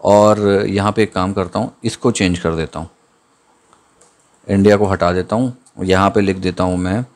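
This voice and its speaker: Guy with a lowish voice teaching coding over a screen recording, reads code out slow. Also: a little mellow. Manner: thinks aloud while typing, slows down to read out code. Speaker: programming tutor. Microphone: voice-over on screen capture.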